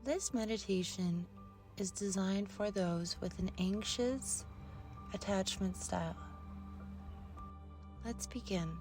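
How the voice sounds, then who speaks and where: A woman narrating a guided meditation in a soft, soothing manner, with long pauses between short phrases. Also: medium pitch. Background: meditation music.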